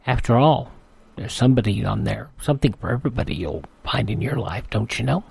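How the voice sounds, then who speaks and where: A man's voice: medium pitch, animated, brisk, creaky, background noise. A man hosting a classic radio program, reading ads and station notes in a folksy, chatty way. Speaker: radio host. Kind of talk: casual host patter and live-read ads.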